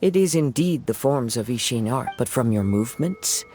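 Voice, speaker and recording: A man playing a calm, perceptive young swordsman in a video game, speaking in a measured, formal, composed way. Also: mid-range voice, soft background music, close to an everyday voice. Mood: calm insight. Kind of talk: dramatic video game voice acting.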